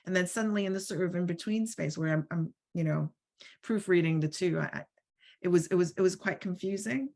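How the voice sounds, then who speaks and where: A woman's voice: lowish voice, fairly quick, vocal fry, a little mellow. Reflective woman on a video call. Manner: reflective, many restarts and fillers. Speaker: roundtable speaker. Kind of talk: online panel discussion.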